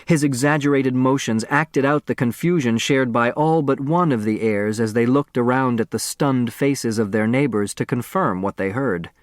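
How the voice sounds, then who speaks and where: A man narrating a scripted story as an audiobook reader, expressive and precise. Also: mid-range voice, moderate pace, few pauses, dry recording, clean studio sound.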